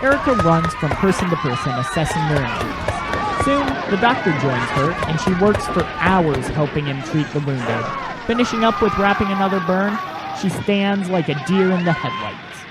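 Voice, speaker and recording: A man narrating a scripted audio drama, with clear background noise. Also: high-pitched, sing-song, normal speed, over a noisy crowd, rough recording. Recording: clear background noise, clicks, outdoor ambience.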